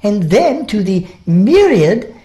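A woman's voice: medium pitch, very animated, takes it slowly, dark tone. A woman playing a dignified, solemn, commanding schoolteacher in an audio drama, speaking in formal, oratorical phrases. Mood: stately, proud.

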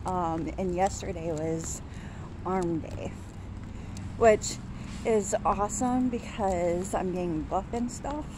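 A woman's voice: lowish voice, slow pace. A woman in her thirties chatting casually on a walk-and-talk vlog, rambling with lots of fillers and restarts, filmed on a phone at arm's length outside a store. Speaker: vlogger. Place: outdoors by a store, daytime. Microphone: phone held at arm's length. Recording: outdoor phone recording with traffic noise.

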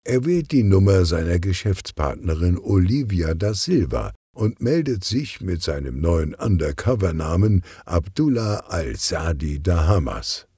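A man narrates a children's crime audiobook with measured expression. His voice is low, dark and husky, and the pacing is slow. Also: sing-song, no echo, clean studio sound.

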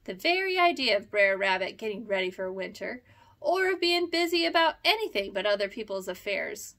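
A jolly old possum with a drawling, folksy way of talking, teasing and chuckling as he speaks, put on by a woman reading a storybook aloud. The voice sits fairly high and sounds very bright and forceful. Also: expressive, unhurried, heavily acted.

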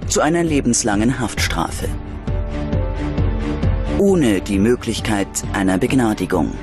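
Animated male true-crime narrator over music. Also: lowish voice, a little slow. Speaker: documentary narrator. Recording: music behind the voice. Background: background music.

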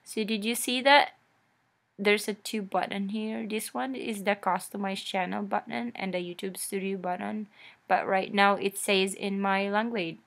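A woman's voice: medium pitch, fairly even intonation, a little slow, vocal fry, a bit bright. A young woman whose first language is Filipino, chattily narrating a screen-recorded tutorial with many fillers and restarts. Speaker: YouTube tutorial host.

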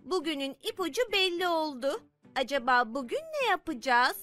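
A woman's voice: high-pitched, lively intonation, moderate pace, bright, forceful tone. A woman voicing a curious young girl in a children's cartoon, sounding inquisitive. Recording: music bed behind the voice.

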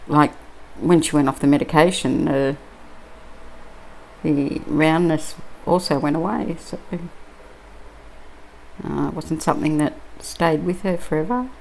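A middle-aged Australian woman talks in a low, easygoing voice, thinking out loud and filling gaps with soft ums. She sounds relaxed at a table indoors, with a faint hum of room noise behind her. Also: measured pace, vocal fry, long pauses, poor audio. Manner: chatty, musing, many ums. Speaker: crafting vlogger. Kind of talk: casual chat while crafting.

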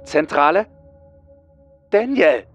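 A man's voice: on the high side, big pitch swings, slow pace, a bit bright, dull audio, soft background music, pitched above the performer's own voice, full character voice. A man voicing an anxious female astronaut in a horror audiobook, urgent and panicky. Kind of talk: dramatised horror audiobook dialogue.

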